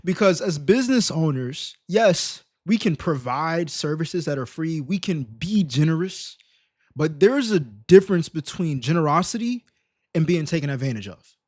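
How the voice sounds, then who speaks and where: A man hosting a casual reaction livestream, slangy and emphatic with rhetorical questions, in a slightly raspy voice. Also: a little high, animated, moderate pace, darkish tone, no room sound, clean studio sound. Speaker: live stream host reacting to videos.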